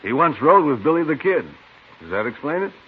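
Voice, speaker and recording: An actor playing a smug, officious American cattlemen's agent in an old radio western, insistent and self-righteous. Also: male voice, on the high side, sing-song, a little fast, breathy edge, full character voice. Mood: insistent, testy. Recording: narrow, muffled, hissy old radio recording.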